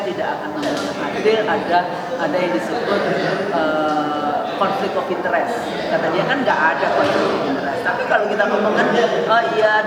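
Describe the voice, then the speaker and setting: An interview guest giving political commentary in an emphatic way, asking rhetorical questions and answering them. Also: mid-range voice. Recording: echoey large hall, distant mic, loud crowd.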